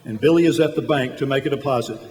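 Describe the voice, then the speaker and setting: An actor playing a senior angel who recounts a life story with authority in a radio drama. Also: male voice, medium pitch, fast, darkish tone, close to an everyday voice. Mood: storytelling, solemn. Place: large church hall. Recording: echoey hall, music behind.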